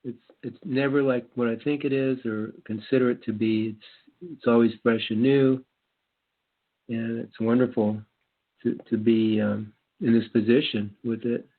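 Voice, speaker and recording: A man speaks through a thin, phone-like line. His pitch stays fairly even as he shares casual reflections, often halting, circling back and repeating himself. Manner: halting, repetitive, meandering. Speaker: spiritual talk panelist. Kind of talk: casual spiritual reflection in group talk.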